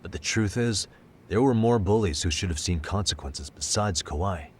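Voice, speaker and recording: An actor playing a calm, analytical male detective in a video game, speaking in a measured, thoughtful way. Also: deep voice, slight background noise. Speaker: detective lead.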